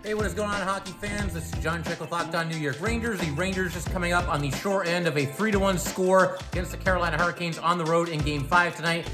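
A man's voice: high-pitched, fast, hardly any pauses, over music. A man who hosts a hockey podcast, giving solo commentary on a game.

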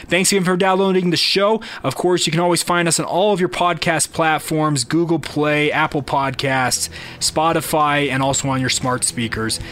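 A man with a moderately high-pitched voice hosts a solo sports podcast. He talks in an informal, conversational way, addressing his listeners directly as he gives his commentary. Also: steady tone, normal speed, fairly bright tone.

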